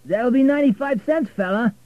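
A brash, familiar cabbie, as a male narrator puts him on in an audiobook. He calls out loudly in a raised pitch. The sound is narrow and phone-like. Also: fairly quick, no echo. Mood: brash, cheery. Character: brash New York male cab driver.

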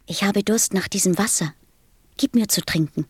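A woman playing a small, innocent and wise boy prince in a radio play, speaking softly and earnestly. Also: medium pitch, moderate pace, husky, no echo.

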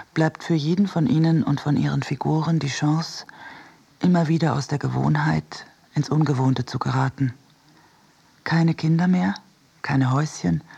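A man reading as a reflective, wry essayist in a measured, precise literary radio narration. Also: a little high, darkish tone, heavily acted. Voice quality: husky, creaky. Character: reflective essayist and cinema-goer, wryly critical.

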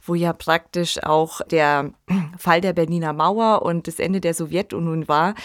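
Low-voiced reflective woman, dry sound. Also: no echo. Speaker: podcast host. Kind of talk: conversational analytical discussion.

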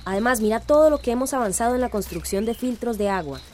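A woman's voice: a little high, lively intonation, fast, darkish tone, slight background noise, close to an everyday voice. An actress playing a determined, indignant village woman activist in a radio drama, earnest and resolute.

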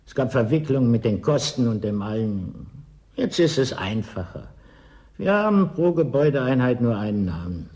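An actor in a German radio play as an evasive, pedantic official who stubbornly denies danger. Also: male voice, mid-range voice, sing-song, normal speed, a little mellow. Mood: officious, matter-of-fact. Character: evasive male settlement bureaucrat, stubbornly denying the danger.

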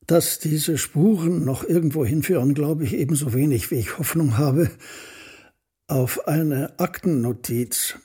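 A melancholy scholar in a literary radio play. The voice is very husky and breathy, soft and mellow in tone. He speaks in measured, formal sentences, reflecting on his own past. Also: a little high. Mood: sombre, reflective. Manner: measured, contemplative, formal storytelling. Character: Austerlitz, melancholy reflective male architectural historian recounting his life. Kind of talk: literary radio drama monologue.

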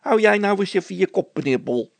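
A man voicing an excitable, doubtful workman in a storybook, exclaiming and grumbling. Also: high-pitched, a little fast, gravelly, no room sound, pitched above the performer's own voice, full character voice. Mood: excited, bossy.

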